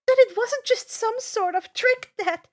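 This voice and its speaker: A woman's voice: very high-pitched, measured pace, pitched above the performer's own voice. A woman voicing a fierce, worried teenage girl who argues in an urgent, emphatic way. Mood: alarmed, protesting.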